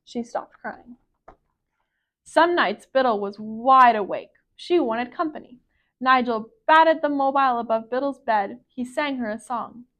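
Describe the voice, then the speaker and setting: A woman reading a children's picture book aloud as a library storyteller, with expressive, careful phrasing. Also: on the high side, a little slow.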